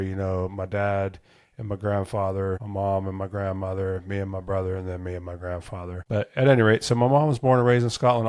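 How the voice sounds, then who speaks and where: A man's voice: fairly low pitch, darkish tone, dry recording, clean studio sound. An American man in his fifties hosting his own show, reminiscing in a rambling, casual solo monologue full of self-corrections. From United States. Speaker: show host.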